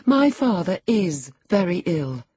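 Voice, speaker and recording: A woman's voice: lowish voice, big pitch swings, slow pace, mellow, breathy edge, no room sound, heavily acted. A woman voicing a spirited, impatient young lady in an audiobook, proud, emphatic and demanding. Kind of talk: audiobook character acting.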